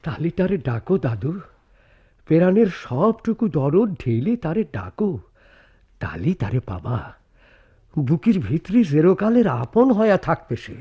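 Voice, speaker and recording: Rustic spiritual elder, widely swinging voice. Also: male voice, a little high, very animated, measured pace, husky, exaggerated voice. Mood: tender, blessing. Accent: rural Bangladeshi Bengali. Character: kindly philosophical elder brother, a rustic spiritual guide. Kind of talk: Bengali radio drama acting. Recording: muffled, noisy radio drama recording.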